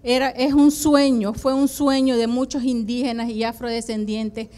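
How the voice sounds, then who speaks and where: Woman, middle-aged, smooth resonant voice, hesitant fillers, handheld mic conference talk. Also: on the high side, normal speed. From Atlantic coast of Nicaragua. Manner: hesitant, many "em" and "eh" fillers, steady explanatory pace. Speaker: university program coordinator, conference panelist. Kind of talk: conference presentation. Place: conference room with flags and projection screen. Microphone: handheld microphone.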